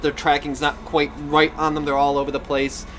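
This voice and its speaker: Male podcast co-host, slightly high voice, wry casual rambler, faint background noise. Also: completely flat delivery, brisk, fairly bright tone. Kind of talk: casual chat about games and movies.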